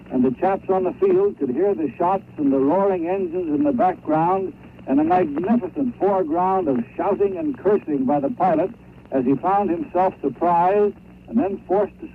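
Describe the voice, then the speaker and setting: A man reports as a radio war correspondent in scripted 1930s storytelling style. His delivery is highly animated, with a gravelly creak. The old shortwave sound is dull, with faint noise.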